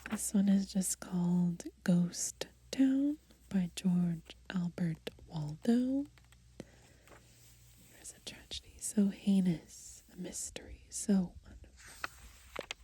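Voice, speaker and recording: A young woman softly whispering in a gentle ASMR style as she browses and reads from a book outdoors. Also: fairly low pitch, slow. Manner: soft, gentle, breathy whispering. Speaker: ASMR creator. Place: outdoors in the grass.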